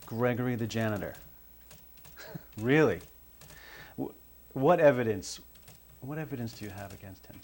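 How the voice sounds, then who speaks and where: An actor playing an evasive, defensive son under police questioning, halting and defiant, in a naturalistic film drama. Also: male voice, mid-range voice, lively intonation, lightly acted. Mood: doubting, insistent.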